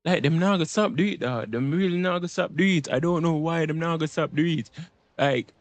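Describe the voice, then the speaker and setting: A man's voice: fairly high pitch, a little fast, no echo. A man giving casual, slangy, profane commentary as he streams a video game. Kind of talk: casual live gameplay commentary.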